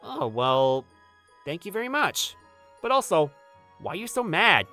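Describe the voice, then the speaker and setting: Casting: man as teenage gamer boy, animated pitch, bright tone, blunt and offhand, romcom manga dub. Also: unhurried, soft background music, full character voice. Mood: irritated. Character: Takumi, aloof teenage boy gamer, blunt but kind. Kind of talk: manga dub acting with scripted first-person narration.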